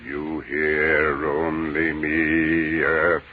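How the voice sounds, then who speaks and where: A man's voice: very deep voice, completely flat delivery, extremely slow, full character voice. A man playing a hungry alien monster in an old radio horror play, luring someone closer in a commanding, droning chant. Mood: hypnotic, menacing. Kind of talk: 1930s radio horror acting. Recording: muffled, noisy 1930s radio recording.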